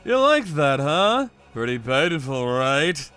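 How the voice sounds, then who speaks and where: A man's voice: mid-range voice, big pitch swings, takes it slowly, bright, forceful tone, soft background music, exaggerated voice. A man voicing a smug, sadistic avenger who taunts his victims in a manga story. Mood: gloating.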